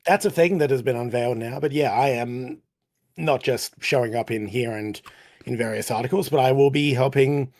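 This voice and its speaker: A man with a croaky voice, guesting on a casual podcast and speaking in a dry, low-key way. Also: normal speed, a little mellow. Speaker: podcast guest, contributing writer.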